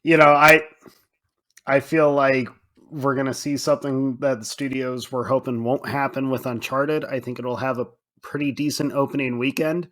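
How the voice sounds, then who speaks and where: Guy on a podcast talking movies, casual, kinda animated and emphatic. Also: medium pitch, normal speed. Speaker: podcast co-host. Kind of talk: casual film discussion.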